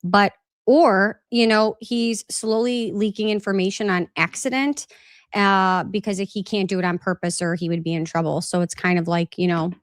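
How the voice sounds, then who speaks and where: A woman hosts a live-stream chat, moving casually between her own thoughts and viewer comments in a loose, rambling way. She is a little congested and has a slight cough. Her voice sits at a medium pitch and is recorded dry, with little room sound. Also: fairly even intonation, normal speed, no echo. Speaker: podcast host.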